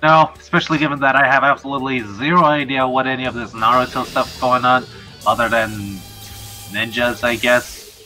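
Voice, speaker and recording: A man's voice: medium pitch, level tone, a little fast, bright, forceful tone, faint music behind, poor audio. A young man in casual livestream chat, self-deprecating and given to swearing.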